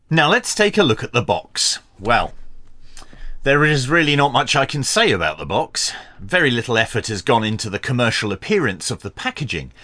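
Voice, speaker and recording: A man presenting a scripted product review in a measured, dryly humorous presenter's delivery. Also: mid-range voice, pushed and bright. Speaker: radio review channel host.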